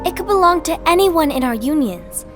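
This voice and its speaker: A woman's voice: extremely high pitch, expressive, moderate pace, music in the background. An actress playing an earnest, spirited young female apprentice in a fantasy video game cutscene, speaking in an earnest, protective way.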